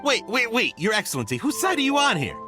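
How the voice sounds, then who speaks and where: An actor playing a brash, cocky, indignant merchant in a video game, blustering and self-justifying. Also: male voice, very high voice, wildly swooping pitch, fast, music in the background, full character voice. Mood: alarmed protest. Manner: blustering, mocking. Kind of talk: animated video game acting.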